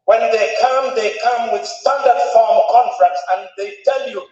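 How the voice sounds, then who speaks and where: Man, high-pitched orator, emphatic public address, echoey far-off hall sound. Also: measured pace, a little mellow, faint music behind. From Tanzania. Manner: emphatic, oratorical, repeats phrases for stress. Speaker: conference speaker. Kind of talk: formal public speech. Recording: echoey hall, distant dull mic.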